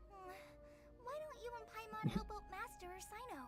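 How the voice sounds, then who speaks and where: A woman voicing a tiny, excitable childlike fairy companion in a video game, bubbly and exclamatory. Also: very high voice, normal speed, over music. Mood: indignant, quizzical.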